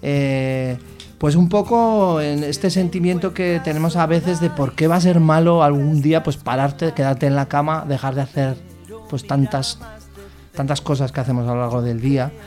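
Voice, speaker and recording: A man's voice: on the high side, steady tone, moderate pace, darkish tone, faint music behind. A man with an accent from Spain, a musician guest chatting casually, explaining things informally and hesitantly with tag questions. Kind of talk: casual chat.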